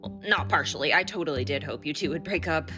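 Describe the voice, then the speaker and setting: A woman's voice: low voice, fast, sharp tone, soft background music. A woman playing a wry, awkward but candid survivor in a radio play.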